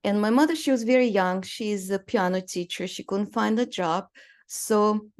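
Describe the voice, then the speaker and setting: A woman in her sixties, originally from Romania, speaks as an interview guest. She talks in a storytelling way, full of small fillers and brief laughs. Her pitch sits in a middle range for a woman. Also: moderate pace, a little mellow. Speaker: guest artist. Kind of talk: conversational interview.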